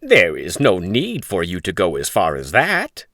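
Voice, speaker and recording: A man's voice: low-pitched, huge pitch swings, unhurried, fairly bright tone, dry recording, clean studio sound, heavily acted. A man voicing a little old bearded storybook stranger, coaxing and persuasive. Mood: friendly, sly.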